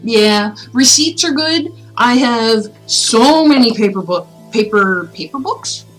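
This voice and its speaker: A woman livestreaming in a chatty, expressive way, as a host who reads aloud and talks with viewers. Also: fairly high pitch, slow, low-quality recording. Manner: chatty, expressive, breaks off into asides. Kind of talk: casual livestream chat with storybook reading aloud. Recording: music playing behind the voice. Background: background music.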